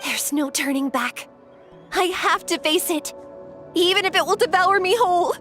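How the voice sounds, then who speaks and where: A woman's voice: very high-pitched, normal speed, fairly bright tone, soft background music. A woman voicing a traumatised young warrior girl, an anxious and protective big sister, in a video game. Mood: grim resolve.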